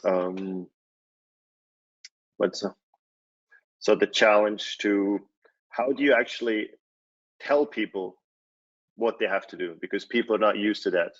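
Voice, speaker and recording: A man from Switzerland in his forties giving a conference talk, relaxed, with many fillers and restarts. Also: on the low side, a little slow, long pauses, dull audio. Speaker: conference speaker, company CEO. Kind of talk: presentation talk.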